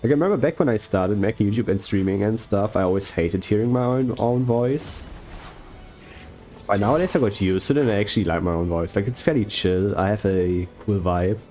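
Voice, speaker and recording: Young male gaming commentator, mellow tone, slow soft-spoken rambling delivery. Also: lowish voice, faint music behind. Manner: rambling with fillers and self-corrections. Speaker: gaming YouTuber. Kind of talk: relaxed gameplay commentary.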